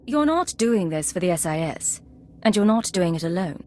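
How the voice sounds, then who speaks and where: A woman's voice: fairly low pitch, big pitch swings, normal speed, darkish tone. A woman playing a cool, resolute Imperial intelligence agent in a video game, speaking in a composed, clipped and stern way. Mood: businesslike. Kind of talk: scripted video game dialogue.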